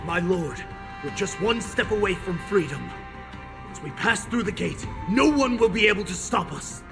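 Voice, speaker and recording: A servile, scheming wolfish henchman from a video game, with a raised, creaky voice. He is eager and deferential, heard in a reverberant space. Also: high voice, animated, normal speed. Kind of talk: video game cutscene acting. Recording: echoing reverb, faint music.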